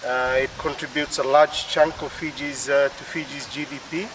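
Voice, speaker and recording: A man with a Fijian accent explaining things as an interview guest, hesitantly, with many pauses. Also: mid-range voice, fairly even intonation, fairly bright tone. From Fiji. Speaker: interview guest, PhD researcher. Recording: distant mic with faint urban noise.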